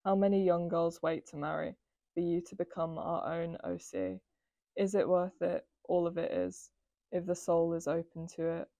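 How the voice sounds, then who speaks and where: A teenage girl student reading a translated poem aloud in a steady, careful way. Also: lowish voice, moderate pace, no echo, studio quality. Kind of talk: poetry reading.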